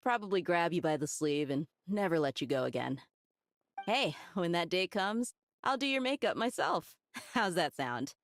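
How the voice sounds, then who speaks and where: A woman's voice: fairly low pitch, animated, moderate pace, a little hiss or hum. A woman voicing a playful, confident theater dancer in a video game, breezy and teasing.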